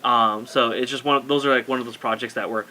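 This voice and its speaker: Male interview guest, mid pitch, rapid informal delivery, noticeable noise behind. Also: steady tone, bright, forceful tone. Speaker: podcast guest, game hardware marketer. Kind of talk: casual interview talk.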